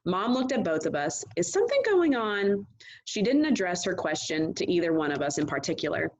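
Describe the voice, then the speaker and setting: A woman reading a storybook aloud as an expressive narrator, on a slightly dull, lo-fi recording. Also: deep voice, a little fast. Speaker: read-aloud reader.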